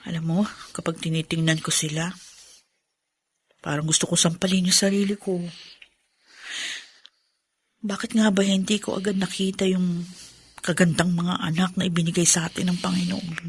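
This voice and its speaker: An actress playing an emotional, devoted mother in a Filipino radio drama, earnest and expressive. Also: fairly low pitch, moderate pace, long pauses, slightly rough. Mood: warm, regretful. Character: emotional, devoted mother of special-needs children, regretful yet hopeful.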